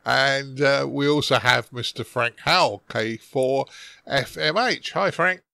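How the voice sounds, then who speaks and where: A man's voice: mid-range voice, measured pace, gravelly, sharp tone, no echo, clean studio sound. A man casually hosting a podcast roundtable, introducing things in an unscripted way.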